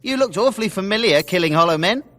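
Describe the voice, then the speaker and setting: A woman's voice: medium pitch, animated, quick pace, fairly bright tone, long reverb tail, natural voice. A woman playing a nervous, sheepish young character in a fantasy video game, hesitant and pleading.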